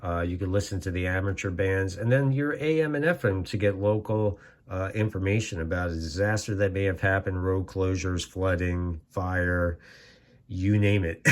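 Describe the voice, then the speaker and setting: A man giving a casual, plain-spoken explainer as a video host, talking in a halting, rambling way. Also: low voice. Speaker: amateur radio video host. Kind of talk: casual informal explainer to camera.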